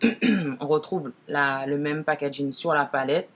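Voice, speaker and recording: A woman with a naturally deep voice, lowered further by a cold, chats casually to viewers as she presents makeup, often correcting herself. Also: unhurried, slight background noise. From Martinique. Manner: informal, frequent self-corrections. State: deeper, hoarse-sounding from a cold. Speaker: makeup tutorial host.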